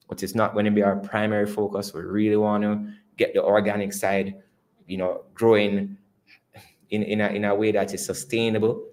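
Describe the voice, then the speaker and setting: Low-voiced Jamaican man presenting to investors. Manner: conversational, repeats and rephrases words. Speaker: company executive presenting. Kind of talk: earnings call presentation and answers.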